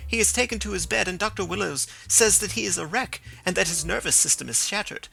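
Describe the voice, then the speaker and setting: Earnest young woman pleading in a high gravelly voice, a guy putting her on, bright edge. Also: a little fast, soft background music, heavily acted, low-quality recording. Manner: raised, pleading voice.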